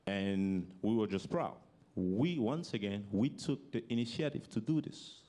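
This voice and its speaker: A man with a French accent, giving a halting public speech and often restarting his sentences as he searches for words in English. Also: lowish voice, animated, warm tone. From French-speaking African country. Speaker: forum speaker.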